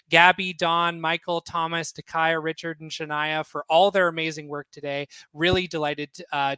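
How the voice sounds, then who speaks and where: A man's voice: on the high side, pushed and bright, clear, resonant tone, no room sound, poor audio. A man hosting an event with an informal, appreciative thank-you speech. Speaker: host, event organizer.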